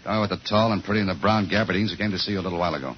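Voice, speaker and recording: An actor playing a tough, blunt police detective in a 1950s radio crime drama, with clipped, terse delivery. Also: male voice, low voice, rapid-fire, a little hiss or hum, natural voice. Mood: hard, pressing. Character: tough, blunt male police detective narrating his case. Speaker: actor in the lead role. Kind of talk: 1950s radio crime drama acting, hard-boiled narration. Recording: muffled vintage radio recording, loud music.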